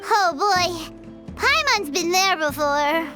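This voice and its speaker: A woman voicing a tiny, excitable, gluttonous fairy companion in a video game, squeaky and childlike, exclaiming dramatically. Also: slow, music in the background, full character voice. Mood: surprised. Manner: refers to self in third person, exclamatory.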